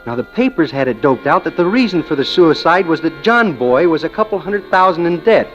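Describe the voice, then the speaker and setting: Smitten American clerk, muffled old radio. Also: male voice, on the high side, very animated, brisk, faint music behind. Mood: brooding, confiding. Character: smitten, obsessive male bank clerk telling his story. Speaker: narrator and lead actor. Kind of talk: 1940s radio drama narration.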